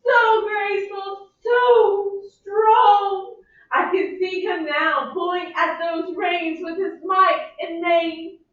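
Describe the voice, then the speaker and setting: A woman's voice: extremely high pitch, steady tone, slow pace, fairly bright tone, pitched above the performer's own voice, full character voice. A woman voicing a melodramatic young widow in mourning, with a Southern accent and a theatrical, sighing delivery. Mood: tearful. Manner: theatrical, sighing, swings to wailing. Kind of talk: amateur play reading. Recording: echoey room, far from mic, dull.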